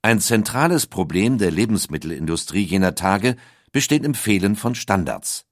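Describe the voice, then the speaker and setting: A man's voice: fairly low pitch, expressive, moderate pace, dry recording, studio quality. A man reading a scripted documentary audiobook as its narrator. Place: dry recording studio.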